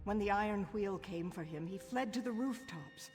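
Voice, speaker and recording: A woman's voice: mid-range voice, moderate pace, darkish tone, close to an everyday voice. An actress voicing a dramatic, sympathetic female character in a video game. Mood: sorrowful, gentle. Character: startled, sympathetic female acquaintance of a missing man. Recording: long hall reverb, music behind.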